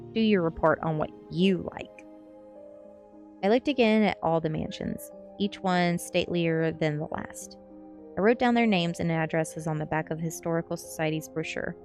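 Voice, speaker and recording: Lowish woman w vocal fry reading a novel on stream, cozy asmr style, bit of music in back. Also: normal speed, darkish tone. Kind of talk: ASMR storybook narration with casual chat to listeners. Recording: music behind the voice.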